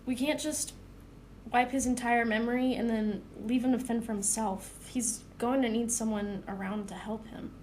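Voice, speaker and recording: Actress as dependable young male student, audio drama: lightly high voice, sincere and conversational, some noise behind. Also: steady tone, moderate pace, a little mellow, lightly acted. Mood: earnest, concerned. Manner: earnest, conversational.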